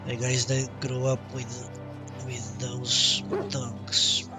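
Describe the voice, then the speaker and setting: A man commentates casually on a role-playing game at a slow pace, thinking out loud in short remarks. His voice sits a little away from the mic, with loud game music playing behind him. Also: medium pitch, level tone, far from the mic. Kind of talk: casual gameplay commentary, reading dialogue choices aloud.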